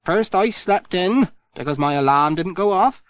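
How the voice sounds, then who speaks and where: A storyteller voicing a cheerful, busy village postman who talks brightly and eagerly. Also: mid-range voice, animated, moderate pace, no echo, pitched above the performer's own voice, natural voice. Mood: cheery. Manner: bright, sing-song and eager. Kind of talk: storybook read aloud.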